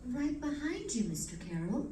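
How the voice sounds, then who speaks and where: Heard from across a roomy stage, a woman acts a poised wife in an old-time radio mystery. Her voice is high and soft-toned, dryly teasing, with lively swings of pitch. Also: normal speed. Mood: arch amusement. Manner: arch, dry, teasing. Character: poised, witty detective's wife, playfully dry. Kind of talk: live old-time radio drama acting. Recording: roomy stage, distant mic, faint noise.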